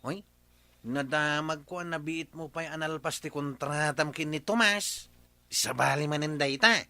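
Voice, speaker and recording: A man's voice: a little high, normal speed, bright, forceful tone, dry recording. A man with an Ilocano accent playing a nosy, chiding village neighbour in a radio comedy drama. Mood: chatty, prying.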